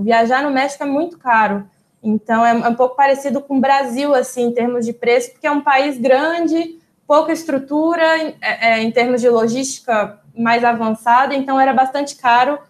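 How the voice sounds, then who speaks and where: A young Brazilian woman, a doctoral student, answering interview questions in a relaxed, explanatory way, with frequent small fillers and restarts. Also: high-pitched. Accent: Brazilian Portuguese. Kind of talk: casual interview storytelling.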